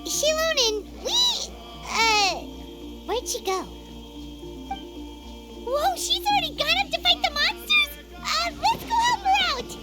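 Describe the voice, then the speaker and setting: A woman voicing a tiny, excitable, childlike fairy companion girl in a video game, with a squeaky voice and exclaiming manner. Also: slow, pauses often, exaggerated voice. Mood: surprised. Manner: exclaiming, refers to self in third person. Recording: loud background music.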